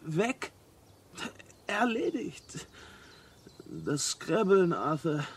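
An actor playing a blunt, cynical space traveler, exasperated and fatalistic, in a radio play. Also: male voice, high-pitched, big pitch swings, extremely slow, husky, exaggerated voice. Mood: weary, resigned.

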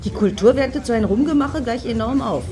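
A woman's voice: a little high, a little fast, a crowd in the background, natural voice. A woman acting in a satirical radio play as a tart, ironic friend on a night out, mocking with dry irony.

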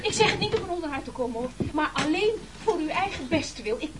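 A man's voice: extremely high pitch, animated, fast talker. A male actor playing a frantic, pleading castaway in a radio play, stammering and evasive. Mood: nervous, pleading. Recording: very noisy old radio recording.

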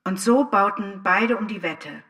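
An actress playing an ironic, restless storyteller in a deliberate, declamatory theatrical monologue. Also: female voice, medium pitch, fairly bright tone, recorded from a distance. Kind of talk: theatrical scripted monologue with narration. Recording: music behind, voice off the mic. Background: music.

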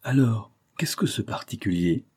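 A man voicing a brisk, shrewd male mentor in an audiobook, emphatic and peremptory with a wry, jovial streak. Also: fairly low pitch, expressive, darkish tone, slightly rough. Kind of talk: audiobook character dialogue.

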